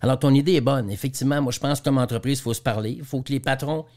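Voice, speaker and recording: A man's voice: mid-range voice, very fast, a little mellow. A man from Quebec with a Quebec French accent, a guest trainer and speaker explaining business examples in a radio interview, often repeating words as he goes.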